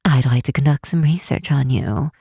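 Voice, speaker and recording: A woman voicing a curious, teasing half-elf tower master in a fantasy ASMR roleplay, speaking softly in an unhurried, formal, old-fashioned way. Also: very deep voice, no echo, studio quality, exaggerated voice. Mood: pensive, curious. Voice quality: soft, breathy, husky.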